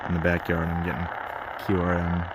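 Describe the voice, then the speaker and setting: A man's voice: low-pitched, monotone, fairly quick. A man giving casual, rambling and frustrated commentary as a radio hobbyist, recorded outdoors in a backyard. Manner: frustrated, grumbling about interference. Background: shortwave radio noise and signals.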